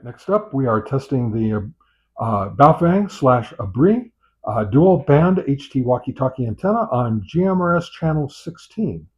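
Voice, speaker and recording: A man gives unscripted product test commentary as a review host, pausing often with filler sounds and repeating set phrases. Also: medium pitch, a little mellow. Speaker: host of a radio gear review channel. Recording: dull, muffled lo-fi sound.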